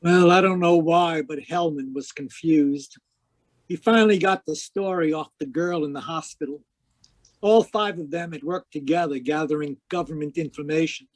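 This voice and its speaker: A man's voice: fairly high pitch, moderate pace, no echo, lightly acted. A man playing a cynical, world-weary private eye in 1940s radio style, with a terse, clipped manner. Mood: cynical recounting. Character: hard-boiled male private eye, wry and cynical. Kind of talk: 1940s radio detective acting and narration.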